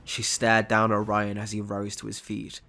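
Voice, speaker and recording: A man's voice: lowish voice, monotone, normal speed, creaky, pushed and bright. A man narrating a scripted, dramatic action story. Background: music.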